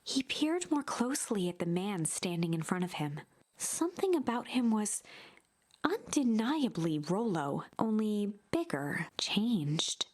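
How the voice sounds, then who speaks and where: A woman's voice: medium pitch, big pitch swings, measured pace. A woman reading scripted story narration in the role of narrator for a game.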